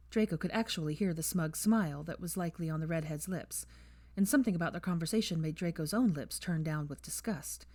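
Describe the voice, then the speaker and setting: A woman with a fairly low voice reads a story aloud at an even, measured pace. The recording is dry and close, with no room echo. Her delivery stays steady, like a reader guiding listeners through each scene. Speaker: audiobook reader. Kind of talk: fan fiction audiobook narration.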